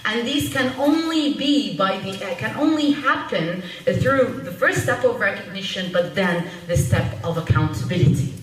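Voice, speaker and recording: Woman panel speaker, mid-pitched voice, earnest and urgent, recorded from a distance. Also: lively intonation. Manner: earnest, urgent, pausing often to find words. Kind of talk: public panel talk. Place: public meeting room at a panel event. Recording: echoey room from far off, faint noise.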